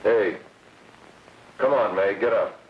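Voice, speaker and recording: An actor playing a bossy, irritable, slangy drug peddler in a 1930s film, curt and nagging. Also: male voice, lowish voice, takes it slowly, a little hiss or hum. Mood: bossy, impatient. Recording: muffled, echoey old film, distant mic.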